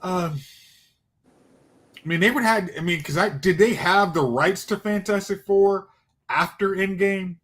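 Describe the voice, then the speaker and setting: A man's voice: sits high, measured pace, long pauses, a bit bright. A man co-hosting a talk stream in casual chat, thinking aloud and often restarting his sentences.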